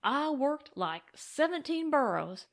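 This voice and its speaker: A woman voicing an excited, grateful cowboy gold prospector in an audiobook western, with folksy, boastful talk. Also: high voice, slow pace, gravelly, pitched above the performer's own voice, full character voice.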